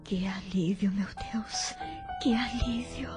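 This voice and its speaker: A woman's voice: medium pitch, steady tone, slow, loud music behind the voice, natural voice. A woman playing a frail, grateful dying patient in an audio drama, speaking in a weak, faltering, emotional whisper. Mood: weak relief.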